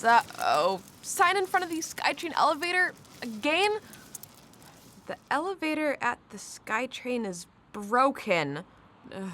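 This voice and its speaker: A high, swooping young voice full of perky slang and impatient self-talk. It belongs to an upbeat young commuter in a radio play, with clear ambient noise behind it. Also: female voice, sharp tone, exaggerated voice. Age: young adult. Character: upbeat, impatient young wheelchair user starting a new job. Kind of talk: naturalistic radio drama acting.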